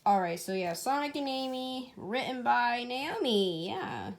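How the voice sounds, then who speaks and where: A woman's voice: fairly high pitch, very animated, unhurried, slight background noise. A woman reading a story aloud as its amateur author, in an informal way, occasionally stumbling over the text. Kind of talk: casual storybook read-aloud.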